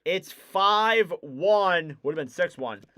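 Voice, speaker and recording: A young man doing live play-by-play for a hockey stream, excitable and rising to shouts on big plays, his voice wearing out. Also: high-pitched, slow pace. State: losing his voice. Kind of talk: play-by-play commentary.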